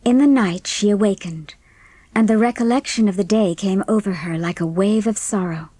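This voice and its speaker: Woman narrator, mid-pitched, dry studio sound. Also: normal speed, mellow, no room sound. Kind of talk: scripted audiobook narration.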